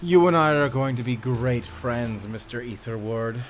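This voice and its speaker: A man playing a sadistic prison warden in an audio drama, gloating and mocking, with menacing, drawn-out delivery. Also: mid-range voice, faint music behind, natural voice. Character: gloating sadistic male prison warden who relishes power. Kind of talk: scripted audio drama acting.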